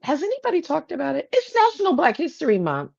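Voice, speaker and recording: A woman's voice: high voice, wildly swooping pitch, fast, creaky, a little mellow. A woman co-hosting a podcast in casual chat, teasing her co-host and playfully mimicking her. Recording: dull lo-fi sound.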